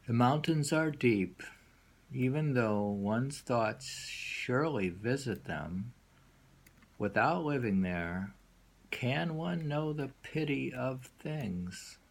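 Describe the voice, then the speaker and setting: A man reading poetry aloud and discussing it informally, in a musing, halting way that lingers and repeats lines. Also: medium pitch, animated, creaky. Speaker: poetry reader and host.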